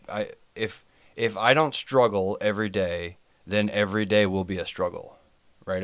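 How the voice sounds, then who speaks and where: A man's voice: low voice, a bit bright, no room sound. A man co-hosting a podcast, a health professional explaining things in a plain, matter-of-fact way. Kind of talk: casual chat.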